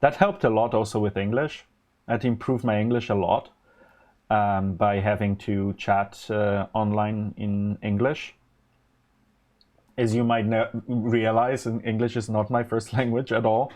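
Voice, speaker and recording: A man's voice: fairly low pitch, steady tone, measured pace, a little mellow. A man whose first language is Polish, hosting a live stream and chatting casually while he works, rambling with many fillers and restarts. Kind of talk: casual chat while designing electronics.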